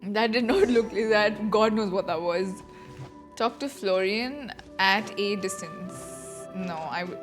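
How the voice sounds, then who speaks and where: A woman's voice: mid-range voice, takes it slowly. A woman streaming a game, chatting casually and playfully with an informal manner. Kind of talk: casual livestream chat. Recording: game music behind the voice.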